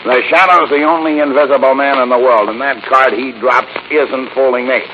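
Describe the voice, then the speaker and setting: A gruff, stubborn police commissioner, played by a man, talks in a blunt, sardonic, mid-pitched voice. He is insistent, in 1940s radio crime style. The recording is badly muffled and noisy. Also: steady tone, brisk, lightly acted. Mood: exasperated.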